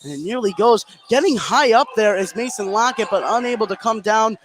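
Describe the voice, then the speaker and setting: A man's voice: sits high, lively intonation, fairly quick, fairly bright tone. An American man doing live play-by-play basketball commentary on radio.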